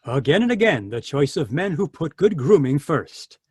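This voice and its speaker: A man announcing a commercial in the style of a 1940s radio pitch. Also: very animated, moderate pace, a little mellow, husky, no echo, clean studio sound.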